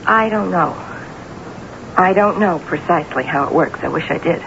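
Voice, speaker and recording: An actress playing a cool, scheming, domineering mistress in a radio mystery drama, speaking deliberately and insistently. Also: female voice, on the low side, creaky. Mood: ominous. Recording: noisy, muffled old radio broadcast recording.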